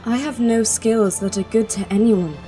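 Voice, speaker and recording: A woman's voice: a little high, steady tone, normal speed, dark tone, faint music behind, lightly acted. A woman playing a grieving young princess in an audio drama, bitter, blunt and bleak. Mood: self-blaming.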